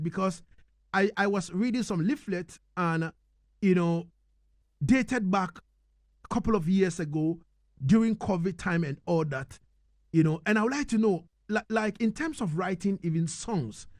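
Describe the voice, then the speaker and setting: High-pitched male radio host, dry sound. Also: no room sound. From Ghana. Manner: halting questions with repeated words and emphatic recaps. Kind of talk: live radio music interview.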